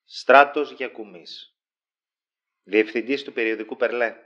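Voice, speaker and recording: A man's voice: medium pitch, fairly even intonation, a bit bright, lightly acted. Man playing a pushy magazine boss, muffled sound. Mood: eager, persuasive. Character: smooth, pushy male magazine director, insistent. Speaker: magazine director. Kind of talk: audio drama dialogue.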